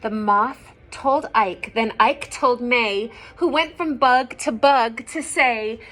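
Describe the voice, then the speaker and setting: A woman reading a picture book aloud to young children, expressive and gentle, often dropping into hushed asides to her listeners. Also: on the high side, takes it slowly. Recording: some background noise.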